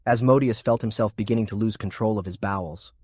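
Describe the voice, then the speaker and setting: Male audiobook narrator, low pitch, deliberate scripted delivery, dry recording. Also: darkish tone, husky, dry recording. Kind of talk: scripted narration of a fantasy war novel.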